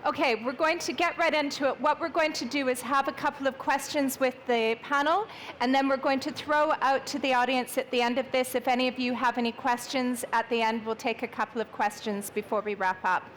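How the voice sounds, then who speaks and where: A woman moderating a conference panel in a brisk, upbeat way. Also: sits high, fairly even intonation, fairly bright tone, slight background noise.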